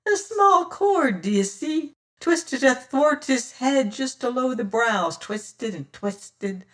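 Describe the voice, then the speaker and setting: A reader voicing a fat, cruel male pirate boatswain with a high-pitched, squealing voice, wailing and pleading. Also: a bit bright. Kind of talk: audiobook character voice.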